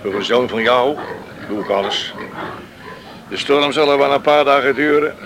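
A man's voice: mid-range voice, moderate pace, noisy background, lightly acted. A man acting in a Dutch radio drama as an obliging island boatman and farmer, plain-spoken and informal. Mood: resolute.

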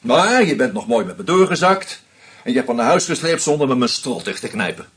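An actor playing a shrewd screenwriter who methodically unmasks a killer, with a husky voice and dry irony. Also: male voice, medium pitch, sing-song, a little fast, a bit bright. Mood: accusing. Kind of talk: radio play acting.

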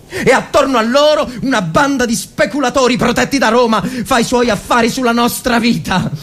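An actor playing a bitter, anguished Italian officer of the First World War in a dramatic monologue, halting and repeating words. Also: male voice, sits high. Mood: indignant. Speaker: actor reading a short story. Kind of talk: dramatic literary monologue, radio reading.

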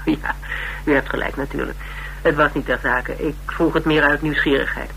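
An actor playing a polite, inquisitive interviewer in a radio drama, probing with courteous, lightly amused questions. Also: male voice, on the high side, fairly even intonation, moderate pace, creaky, pushed and bright, low-quality recording. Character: polite, inquisitive male interviewer sizing up a recruit. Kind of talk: naturalistic Dutch radio drama acting. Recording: radio recording with background hiss and hum.

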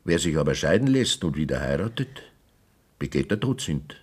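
A cautious village priest. His voice is very deep, and he speaks in a measured, formal way while he evades every demand. It is a role in a radio play. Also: sing-song, darkish tone, slightly rough, full character voice. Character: cautious middle-aged male village priest, measured and evasive.

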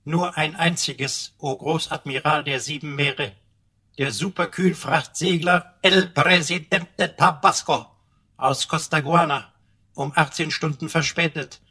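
A highish, bright-voiced computer sidekick for a sci-fi radio play. He is pompous and mock-servile, heaping grand flattery on his master. The voice has a husky edge. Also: unhurried, exaggerated voice. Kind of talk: German radio play acting.

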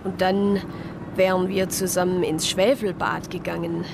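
A woman's voice: fairly low pitch, flat delivery, a little slow, creaky, noisy background. An actress playing an earnest, caring church sister with a Swabian accent, telling her story plainly and reflectively in a radio drama. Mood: reflective, wistful. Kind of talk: radio drama first-person narration and dialogue.

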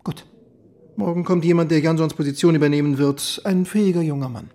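An actor in a radio drama playing an evasive, officious East German police chief, stiff and dismissive under feigned calm. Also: male voice, fairly high pitch, brisk, lightly acted. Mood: cold, curt. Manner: hemming, then stiff and dismissive. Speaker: head of district police office.

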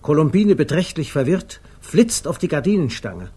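An actor playing a wry narrator of comic chaos in a 1950s German radio play, with theatrical, mock-dramatic, clipped announcements. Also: male voice, fairly high pitch, big pitch swings, normal speed, heavy background noise.

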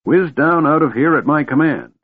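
A man's voice: medium pitch, very animated, a little slow, husky, no room sound, narrow, tinny audio, voice pushed up, full character voice. A man voicing a cranky, scatterbrained old wizard in a fantasy audiobook, blustering and flustered. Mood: gleeful.

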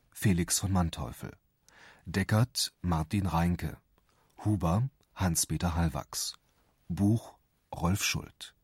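Casting: male announcer, deep and unhurried, husky edge, dry close studio sound, reading credits. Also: darkish tone, no echo. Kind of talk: scripted cast credits announcement.

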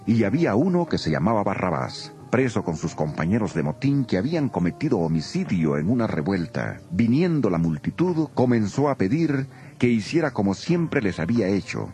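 A man's voice: low voice, a little mellow. A man narrating scripture as a solemn, dramatized scripted reading. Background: music.

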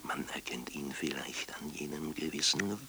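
An actor playing a sententious philosopher in a radio play, pondering solemnly and deliberately in whispery tones over audible noise. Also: male voice, rumbling low, pushed and bright. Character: sententious male philosopher pondering the righteous man. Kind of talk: 1990s German radio play monologue.

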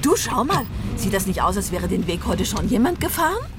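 Actress as a spirited, animated, curious wife. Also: female voice, medium pitch, brisk, background noise, natural voice. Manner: inquisitive, arch. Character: spirited, curious, teasing English gentleman's wife. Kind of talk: German radio drama acting.

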